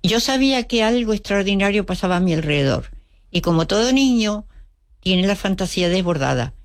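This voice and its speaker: A woman's voice: mid-range voice, expressive, fairly quick, no room sound, clean studio sound. An older woman with an Andalusian Spanish accent, Russian by mother tongue, telling her memories as an interview guest with wry humor and acted-out quotes. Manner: vivid storyteller, acts out quotes, wry humor. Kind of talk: storytelling reminiscence.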